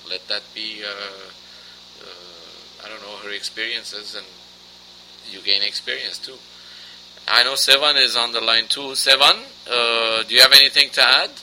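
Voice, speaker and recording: A man's voice: mid-range voice, fairly even intonation, measured pace, bright, forceful tone, a little hiss or hum, low-quality recording. A man hosting a radio interview, asking questions and reacting briefly. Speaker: radio host.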